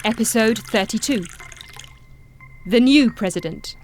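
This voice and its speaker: A woman's voice: a little high, expressive, unhurried, darkish tone, a little hiss or hum. A woman reading scripted opening credits as the announcer of an audio drama.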